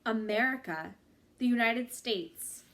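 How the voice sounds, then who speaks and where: A woman reading a book chapter aloud in a measured, expressive storytelling style with drawn-out phrases. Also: fairly high pitch.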